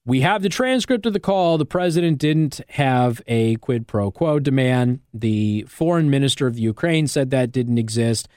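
A man's voice: medium pitch, normal speed, hardly any pauses, darkish tone, clear, resonant tone, no echo. American male talk radio host, dry studio sound. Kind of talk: opinionated talk radio monologue.

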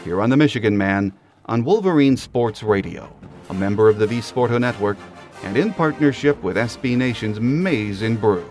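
A man's voice: lowish voice, animated, normal speed, a little mellow, soft background music. A man hosting a sports podcast, delivering scripted commentary and announcements.

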